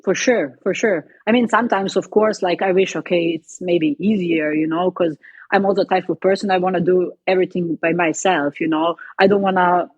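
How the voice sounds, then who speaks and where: Young woman, Serbian accent, casual interview guest; husky tone, dry slightly dull sound. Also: fairly low pitch, fairly even intonation, a little fast, darkish tone, dry recording. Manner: chatty, frequent "you know" and "like" fillers. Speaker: guest, footballer and coffee entrepreneur.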